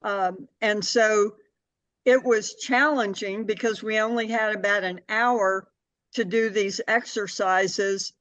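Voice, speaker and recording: An older woman answering as an interview guest in a deliberate, plainspoken, conversational way, on a slightly dull recording. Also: mid-range voice, steady tone, a bit bright, no room sound. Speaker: interview guest, community activist and city board member.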